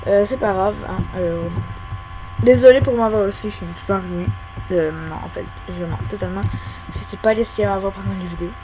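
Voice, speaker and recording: A young woman giving casual, hesitant gameplay commentary at her home computer, full of pauses and filler sounds. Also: mid-range voice. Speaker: gaming YouTuber. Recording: hum and whirring computer fan noise.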